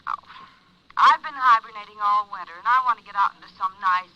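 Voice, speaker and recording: A woman's voice: mid-range voice, fairly quick. Muffled phone voice, pouty girlfriend. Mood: coaxing. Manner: coaxing, kittenish, insistent. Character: playful, pouty, spoiled rich girlfriend. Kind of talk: 1950s radio drama acting. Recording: muffled, noisy old radio phone voice.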